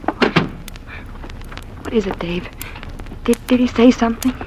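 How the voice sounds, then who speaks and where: An actress playing an anxious, faltering niece in a 1940s radio thriller, on a noisy old recording. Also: female voice, a little high, unhurried, natural voice. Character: frightened, hesitant young niece plotting with her partner. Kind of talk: 1940s radio drama acting.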